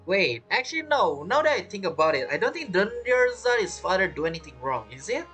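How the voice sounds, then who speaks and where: A man's voice: high-pitched, sing-song, a little fast, sharp tone, faint music behind. A man giving casual gameplay commentary as a streamer, rambling and playful, with non-native phrasing. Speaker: game streamer.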